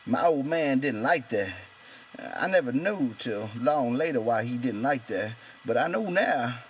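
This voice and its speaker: A man's voice: medium pitch, lively intonation, unhurried, a little hiss or hum, voice pushed up, close to an everyday voice. A man voicing a proud, bitter, lonely stable hand in an audiobook, talking in an insistent, rambling colloquial way. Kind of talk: character voice in an audiobook reading.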